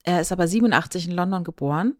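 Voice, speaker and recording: A woman's voice: on the low side, racing, dry recording. Casual female podcast co-host, dry sound. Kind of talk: casual conversational podcast chat.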